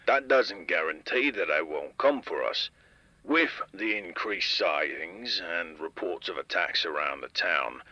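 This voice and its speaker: A man's voice: sits low, pushed and bright, dry recording, dull audio, full character voice. A man playing a stern, exasperated, dutiful security chief making announcements in a radio drama. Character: gruff, dutiful male town security chief. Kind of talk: scripted radio audio drama, public announcement.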